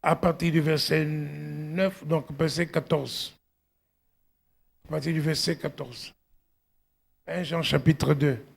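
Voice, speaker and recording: A middle-aged male pastor delivers an exhortative sermon. His voice is fairly high, husky and gravelly. He speaks slowly and emphatically, punctuating his points with exclamations and questions to the congregation. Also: steady tone. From outside Belgium, arrived at eighteen.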